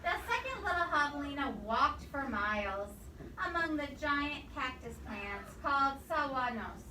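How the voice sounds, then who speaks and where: A woman reading a storybook aloud to young children like a teacher, expressively, pausing to ask them questions. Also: high voice. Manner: expressive, pausing to quiz the listeners. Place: indoor room with children present. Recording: echoey, distant microphone, noisy room.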